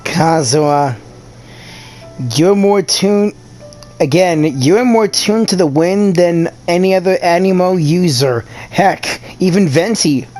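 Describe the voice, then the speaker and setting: A man's voice: sits high, slow pace, darkish tone. A man giving casual reaction commentary as he plays a video game, with music in the background. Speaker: gamer commenting on gameplay.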